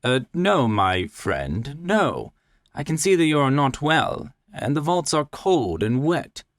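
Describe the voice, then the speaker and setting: A man's voice: mid-range voice, sing-song, slow, no echo, studio quality, voice pushed up. A man voicing a falsely friendly, scheming nobleman in a storybook reading, coaxing and politely solicitous. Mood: sly coaxing.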